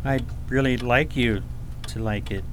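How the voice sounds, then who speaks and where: A man's voice: mid-range voice, measured pace, slight background noise, lightly acted. A man playing a polite, curious young prince in a radio fairy tale, courteous and eager. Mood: friendly, shy.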